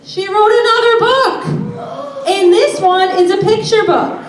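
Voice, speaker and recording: High-voiced woman teacher in an echoey room. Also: recorded from a distance. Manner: simple, deliberate, question-and-answer teacher talk. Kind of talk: explaining to young children before a read-aloud. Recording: echoey room from afar, faint noise.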